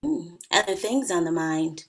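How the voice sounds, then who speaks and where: A woman's voice: on the low side, expressive, a little slow, vocal fry. An actress in her early thirties playing a wry, teasing, hard-drinking bridesmaid in a comedy table read. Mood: breezy.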